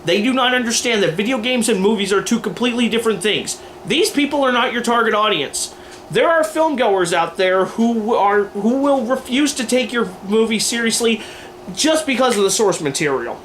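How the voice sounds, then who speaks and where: A man's voice: high voice, fairly bright tone, slight background noise. A man delivering opinion commentary to camera in an emphatic, wordy, informal way, like a host going through a list. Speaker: video host and aspiring filmmaker.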